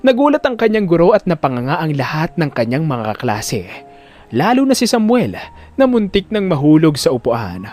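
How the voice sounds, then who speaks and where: A man narrating a scripted drama story in an expressive, emphatic storyteller's delivery. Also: on the high side, moderate pace, faint music behind.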